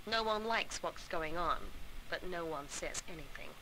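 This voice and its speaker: A woman's voice: fairly low pitch, lively intonation, moderate pace, background noise, close to an everyday voice. An actress playing a tired, nervous female worker on a Mars base, recording an audio diary in a video game. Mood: tired, anxious.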